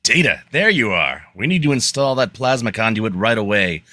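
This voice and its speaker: Man as starship engineer, bright forceful tone, very animated, upbeat, dry close sound, radio play. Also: fairly low pitch, moderate pace, dry recording. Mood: brisk, upbeat. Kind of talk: amateur live radio-play table read.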